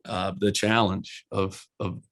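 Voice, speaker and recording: A man with an Eastern Kentucky country accent speaks in a low, fairly flat voice. He is a college basketball head coach in his forties giving folksy, earnest answers, often doubling words as he restarts a thought. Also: slow pace. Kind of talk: casual interview answers.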